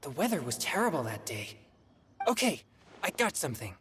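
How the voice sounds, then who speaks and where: Game cutscene: a sincere young man, slow and lively in tone, faint noise behind. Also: mid-range voice. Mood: earnest. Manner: earnest, slow, thinking aloud. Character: earnest young man composing verses.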